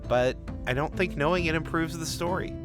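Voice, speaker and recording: A man's voice: a little high, a little fast, over loud music. A man working as author and narrator, reading stories and commenting on his writing.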